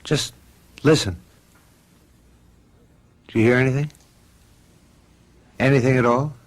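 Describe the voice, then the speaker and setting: An actor playing a weary, resigned husband in a radio mystery drama, terse and wry. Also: male voice, medium pitch, takes it slowly. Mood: uneasy. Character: weary, resigned husband and father stranded in fog.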